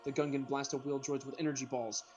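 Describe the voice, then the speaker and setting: A man's voice: medium pitch, little pitch movement, fast, a little mellow, faint music behind. A man narrating a script informally for an amateur comedy reading, sometimes stumbling over the words.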